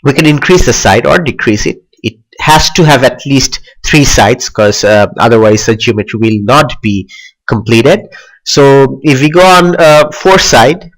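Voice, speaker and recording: A young man hosting a software tutorial in a loose, chatty way, with frequent "like" and "uh" fillers. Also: medium pitch, measured pace, rough recording. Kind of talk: casual screen tutorial.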